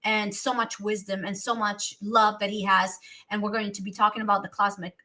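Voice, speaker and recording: Bright-voiced Romanian-accented woman host. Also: medium pitch, normal speed. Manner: excitable, gushing, restarts often. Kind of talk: casual livestream chat.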